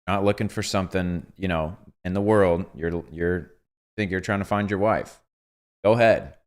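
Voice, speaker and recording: An earnest young man with a somewhat low voice, chatting on a livestream. He tells a story with lots of quoted asides and animated turns. His delivery is casual and sincere. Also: moderate pace. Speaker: host. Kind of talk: casual couple chat on a livestream.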